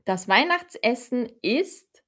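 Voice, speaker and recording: Lady teaching a live class online, talks real slow and clear, says things twice. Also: fairly high pitch, big pitch swings, sharp tone. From Austria. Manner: slow, clear teacher talk, repeats words for learners. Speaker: German teacher. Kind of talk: live-streamed language lesson.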